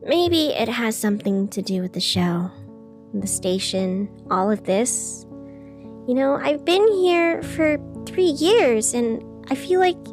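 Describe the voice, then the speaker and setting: Woman playing a high-voiced wistful radio host. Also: lively intonation, slow pace, a little mellow, soft background music. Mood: unsettled, wistful. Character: wistful, self-doubting young woman hosting an odd night radio show. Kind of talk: scripted audio drama radio monologue.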